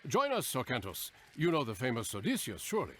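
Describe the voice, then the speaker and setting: An actor playing a proud, hot-tempered Greek army commander in a video game, with a grandiose, commanding manner. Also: male voice, mid-range voice, huge pitch swings, brisk, slight background noise. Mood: imperious. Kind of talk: cinematic video game voice acting.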